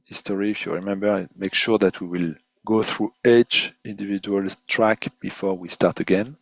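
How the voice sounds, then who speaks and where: A man presenting an unscripted webinar software demonstration in halting, hesitant phrases, often repeating words as he goes. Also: on the low side, steady tone, gravelly, no echo. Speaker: product presenter giving a software demo.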